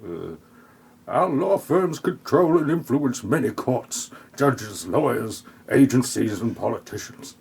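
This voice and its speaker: A man's voice: medium pitch, lively intonation, takes it slowly, gravelly, a little mellow, husky, exaggerated voice. A man playing a smug, callous corrupt executive in an amateur parody audio play.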